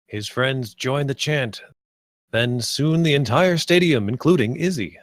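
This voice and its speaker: Man, medium pitch, script narrator, table read, dry recording. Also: lively intonation, measured pace, dry recording. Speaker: narrator reading stage directions.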